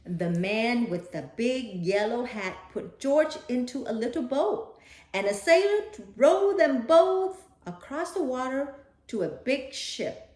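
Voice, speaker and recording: A woman's voice: on the high side, lively intonation, slow, darkish tone, roomy sound. A woman reading a children's picture book aloud expressively, with dramatic delivery and playful asides to a young listener.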